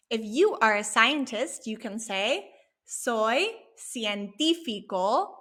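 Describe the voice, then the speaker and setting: A woman teaching a language lesson, drilling words slowly and encouraging the learner after each one. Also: on the high side, sharp tone. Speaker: Spanish teacher.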